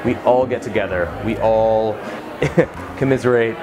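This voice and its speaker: A man's voice: fairly low pitch, measured pace, over music, poor audio. A man from Maine, a brewer speaking enthusiastically and candidly in a documentary interview.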